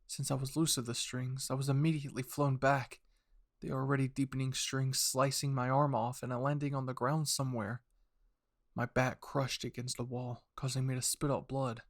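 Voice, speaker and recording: A man reads dramatic fiction with an intense delivery. His voice sits at a middling pitch, recorded close and dry with hardly any room sound. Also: flat delivery, normal speed, dry recording. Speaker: fan fiction narrator. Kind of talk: dramatic scripted story narration.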